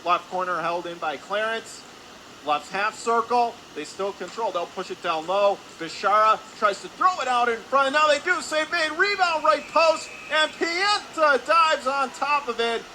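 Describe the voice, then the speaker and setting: A man calling live ice hockey play-by-play for radio in clipped phrases, getting excited on scoring chances. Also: extremely high pitch, unhurried. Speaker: play-by-play announcer. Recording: clear background noise, arena ambience.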